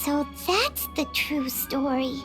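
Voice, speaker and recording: A woman voicing a tiny, childlike, curious fairy companion in a video game, with a squeaky voice. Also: animated, slow pace, over music. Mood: pondering. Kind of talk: cute video game character acting.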